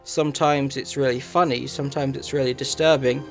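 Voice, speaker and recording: A man narrating a review in measured, somewhat halting phrases. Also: mid-range voice, fairly even intonation, faint music behind.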